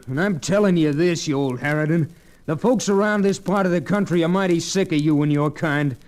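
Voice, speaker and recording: An actor with an American accent playing an angry, defiant young rancher's son in an old-time radio western. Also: male voice, on the high side, a little fast, a little mellow, close to an everyday voice. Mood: bitter, defiant. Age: young adult.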